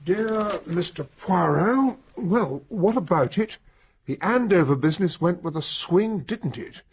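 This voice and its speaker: An actor playing an earnest, well-spoken English gentleman, a detective's loyal companion, with a husky, breathy British voice, in a radio drama. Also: sits high, a little hiss or hum, full character voice. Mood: reading aloud. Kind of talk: radio drama acting and first-person narration.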